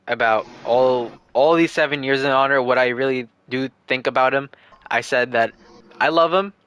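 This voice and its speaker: A man's voice: mid-range voice, pushed and bright, clear, resonant tone. Man in his twenties, streamer, casual chat, earnest, rambling, restarts often. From United States. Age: 20s. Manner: earnest, rambling, repeats himself while thinking aloud. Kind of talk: casual livestream Q&A.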